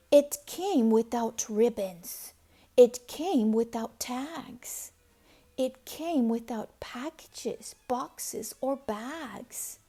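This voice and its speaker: Very slow, high-voiced woman reading a storybook. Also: warm tone. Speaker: storybook reader. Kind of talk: expressive children's read-aloud narration.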